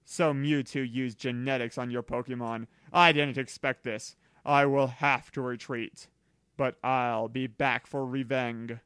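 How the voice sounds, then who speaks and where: Man voicing a boastful villain creature, unhurried. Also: mid-range voice, steady tone, fairly bright tone, smooth, dry recording, studio quality, lightly acted. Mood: resentful. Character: Mew Three, boastful villainous clone creature bent on revenge.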